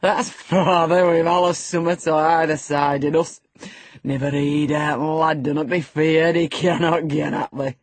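Woman acting out old servant, croaking, jeering, breathy, dry, storybook reading. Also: low voice, steady tone, unhurried, no room sound, full character voice. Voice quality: croaking laugh. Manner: gloating, drawling. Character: mocking old male farm servant, croaking.

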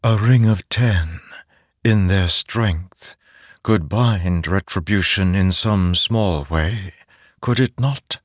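An ancient spirit of the wind, female and timid, as a male narrator plays her. She speaks slowly and hesitantly, with a tremble and a gentle plea. It is a dry audiobook sound. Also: deep voice, no echo. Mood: tentative, fearful. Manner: uncertain, gently pleading.

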